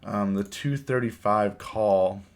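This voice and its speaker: A man with a cold and a hoarse voice, hosting a casual live stream and chatting with his viewers, often repeating his words. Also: lowish voice, takes it slowly. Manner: rambling, repeats words, reads chat aloud. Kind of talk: casual live stream chat about trading.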